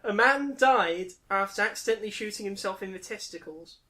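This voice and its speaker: Boomy-voiced British man, bantering. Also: fairly quick, bright, forceful tone, slight background noise. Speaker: podcast host. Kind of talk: casual comedic banter.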